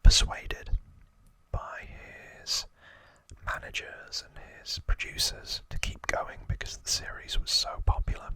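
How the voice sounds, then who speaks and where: A man speaking in a breathy, gentle whisper, hosting an ASMR trivia explainer close to the microphone. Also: no echo, poor audio. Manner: slow, gentle, hushed delivery. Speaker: ASMR video creator and host.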